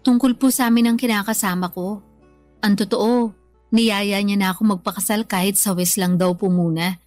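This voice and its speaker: A woman's voice: mid-range voice, steady tone, normal speed, a little mellow, soft background music, natural voice. An actress playing a respectful, repentant grown daughter and young mother with a Filipino accent in a radio drama, speaking earnestly and politely. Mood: happy, grateful. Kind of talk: Tagalog radio drama acting.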